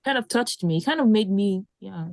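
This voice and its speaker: A woman's voice: mid-range voice, lively intonation, a little fast, no room sound, clean studio sound. A woman co-hosting a podcast, chatting animatedly and stumbling through "like" and restarts. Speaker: podcast co-host. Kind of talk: casual chat.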